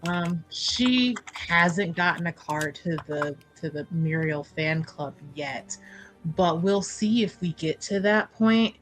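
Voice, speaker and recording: A woman's voice: lowish voice, animated, slow, faint music behind, poor audio. A woman chatting casually about her tabletop character in a breezy, joking way. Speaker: player on an actual-play tabletop show.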